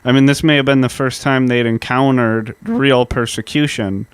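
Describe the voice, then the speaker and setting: A man's voice: mid-range voice, steady tone, clean tone, dry recording. Earnest man on a dry podcast mic. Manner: earnest, reads historical quotes slowly. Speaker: podcast co-host. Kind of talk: casual history podcast chat.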